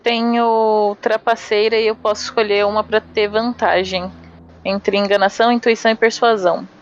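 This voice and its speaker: A woman's voice: mid-range voice, level tone, moderate pace. A woman with a Brazilian accent speaking casually as a player, describing her moves in a tabletop role-playing game. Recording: slightly dull, music behind.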